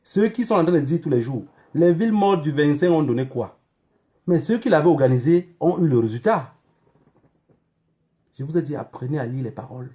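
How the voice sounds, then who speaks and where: Higher-voiced Cameroonian man, emphatic. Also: lively intonation, a little fast, warm tone. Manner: emphatic and admonishing, with repeated phrases and rhetorical questions. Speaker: online activist livestreamer. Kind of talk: political livestream monologue to viewers.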